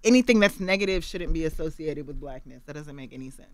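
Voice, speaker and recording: A woman from Los Angeles, a guest on a radio interview, telling her story in a candid, animated way. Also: sits low, fast, dry recording. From Los Angeles, USA.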